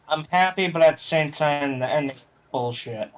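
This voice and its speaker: A man's voice: a little high, poor audio. A man giving opinions casually on a sports podcast, blunt and emphatic in how he talks. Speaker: wrestling podcast panelist.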